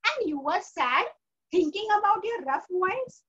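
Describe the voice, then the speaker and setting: A woman voicing a kindly male god of the jungle, consoling and advising in a slow, soothing way. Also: very high voice, very animated, pushed and bright, lo-fi sound, full character voice.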